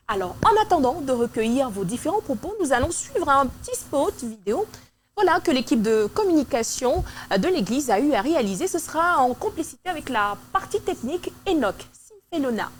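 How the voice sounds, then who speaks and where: Une femme à l'togolais anime une émission télévisée pour la jeunesse chrétienne en studio et relance ses invités avec entrain. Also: female voice, high voice, a little hiss or hum. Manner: lively and engaging, prompts guests. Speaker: TV show host. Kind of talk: hosting a church youth TV program.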